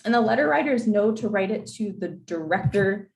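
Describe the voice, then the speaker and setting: A woman's voice: medium pitch, animated, moderate pace, creaky, roomy sound, low-quality recording. A young woman who is losing her voice, presenting an informal info session in a chatty way with many fillers. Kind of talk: informal advice talk with slides.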